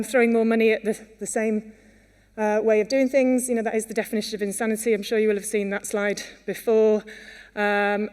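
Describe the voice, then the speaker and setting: A woman's voice: on the high side, darkish tone, clean tone. A Welsh woman giving an earnest conference talk, with many fillers and restarts. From Wales. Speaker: conference speaker. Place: conference hall. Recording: long hall reverb.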